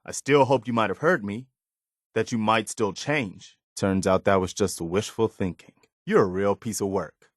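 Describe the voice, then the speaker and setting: A man's voice: fairly low pitch, moderate pace, dry recording, studio quality, close to an everyday voice. A male actor voicing an earnest, weary, loyal militia soldier in a video game, speaking in a plain-spoken, folksy way. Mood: bitter, reproachful. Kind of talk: dramatic video game dialogue.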